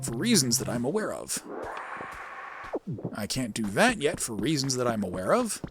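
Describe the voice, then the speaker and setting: A man giving casual, rambling gameplay commentary, thinking out loud as he plays. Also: mid-range voice, huge pitch swings, moderate pace, over music. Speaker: gaming video host and amateur voice actor. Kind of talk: casual gameplay commentary with read-aloud game text.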